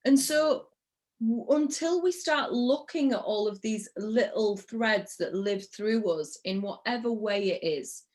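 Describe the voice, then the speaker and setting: A woman's voice: a little high, measured pace, a little mellow. A woman from Yorkshire with a Yorkshire accent, hosting a podcast interview in an effusive, casual way and repeating words for emphasis. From England, Yorkshire. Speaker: podcast host.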